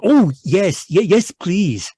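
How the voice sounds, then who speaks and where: A man's voice: sits high, sing-song, slow, warm tone, slightly rough, no room sound, studio quality, exaggerated voice. An actor playing a timid, apologetic, world-weary man of about fifty in a radio mystery, hesitant and stammering. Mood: nervous. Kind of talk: radio mystery drama acting. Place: live remote performance over video call.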